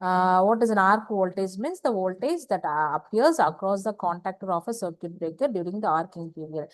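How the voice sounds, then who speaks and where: Woman lecturing, halting and dry-sounding. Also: medium pitch, lively intonation, no room sound. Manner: halting, repetitive, asks and answers own questions. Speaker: engineering lecturer. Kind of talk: slide lecture explaining technical material.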